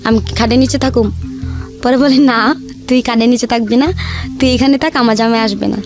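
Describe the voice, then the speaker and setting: A woman's voice: on the high side, fairly even intonation, brisk. A young woman with a Bangladeshi accent chattily telling her life story in colloquial speech, acting out the dialogue as she goes. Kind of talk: casual personal storytelling with re-enacted dialogue. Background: background music.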